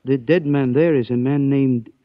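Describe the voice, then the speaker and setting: A man playing a suave, soft-spoken schemer. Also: mid-range voice, steady tone, moderate pace, no echo, natural voice. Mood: calm menace. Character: suave, menacing older Chinese man who speaks in proverbs. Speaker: mystery character in a radio drama. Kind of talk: 1940s radio acting. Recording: dull, dry old radio recording.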